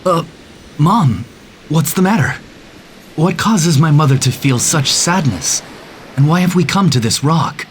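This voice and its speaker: A young man voicing a brave, headstrong young male hero in an animated story, speaking earnestly and proudly. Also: on the high side, a little slow, pauses often, slight background noise. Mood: worried. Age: young adult.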